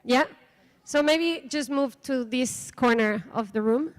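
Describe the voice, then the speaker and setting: A woman's voice: sits high, slow. A woman from Mexico with a Mexican Spanish accent, opening and presenting a conference workshop in a casual, hesitant way with many fillers. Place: conference room.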